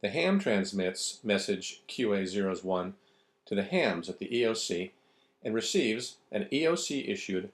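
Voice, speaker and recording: A man's voice: on the low side, animated, a little slow. A man reading scripted narration for an instructional video.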